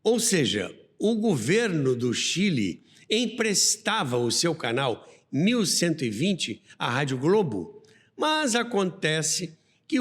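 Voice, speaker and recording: A Brazilian man narrates radio history in a slow, lively voice that rises and falls widely. He moves between scripted storytelling and asides to the listener, and a long reverb trails his words. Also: high voice. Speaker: podcast host and narrator. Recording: long reverberant hall sound.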